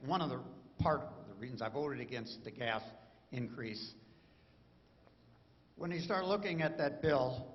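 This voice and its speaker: Man, higher voice, loud and indignant audience member, dull recording with long hall reverb. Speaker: audience member asking a question. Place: meeting hall.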